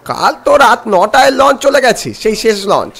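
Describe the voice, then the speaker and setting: A man playing a brusque, argumentative ferry-side local in an animated story, with a blustering manner. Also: extremely high pitch, normal speed, husky, full character voice. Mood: puzzled.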